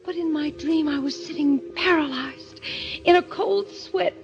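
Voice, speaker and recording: A woman's voice: sits high, measured pace, vocal fry. A woman playing a terrified wife in an old radio mystery, anguished and pleading as she speaks. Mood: tense dread. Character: terrified wife recounting a nightmare, pleading for her life. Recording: dull vintage radio recording with music.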